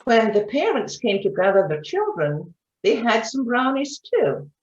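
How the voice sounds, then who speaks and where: A woman's voice: on the high side, very animated, unhurried, darkish tone. A woman narrating a children's story for readers theatre, recorded with a dull, echoey sound.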